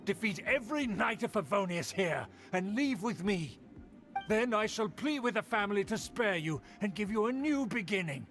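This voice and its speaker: A man's voice: high-pitched, lively intonation, normal speed, fairly bright tone, soft background music. A man voicing a pompous, haughty older noble uncle in a video game, lecturing in an indignant, lofty tone.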